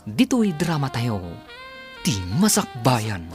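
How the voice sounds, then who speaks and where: A man with a northern Philippine accent announcing a radio drama in a dramatic, measured, scripted style. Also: mid-range voice, very animated. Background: music.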